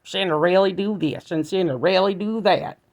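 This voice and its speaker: A man's voice: high voice, normal speed, vocal fry, voice pushed up. A man voicing a thin, indignant but sympathetic mouse in a children's storybook.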